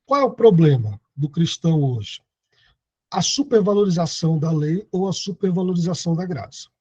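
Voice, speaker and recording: A man's voice: fairly high pitch, animated, moderate pace, warm tone, slightly rough, no room sound, dull audio. A man in his thirties teaching an online Bible study lesson, reading scripture aloud and explaining it. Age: 30s. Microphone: video call.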